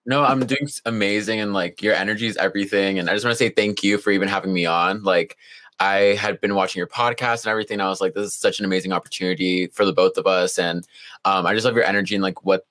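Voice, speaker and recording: Low-voiced, laid-back young man. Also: steady tone, quick pace, a bit bright. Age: young adult. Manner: laid back, heavy use of "like". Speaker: guest, college student. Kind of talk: casual interview chat.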